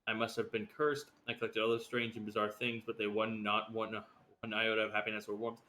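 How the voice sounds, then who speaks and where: A man's voice: fairly low pitch, level tone, a little fast, sharp tone. A man streaming a video game, reading its dialogue and letters aloud. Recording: clear background noise on stream mic.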